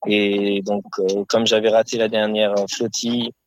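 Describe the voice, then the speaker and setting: A man giving a spontaneous personal account in an interview as an activist sailor, halting and reflective as he searches for words. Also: lowish voice, flat delivery, no room sound, rough recording.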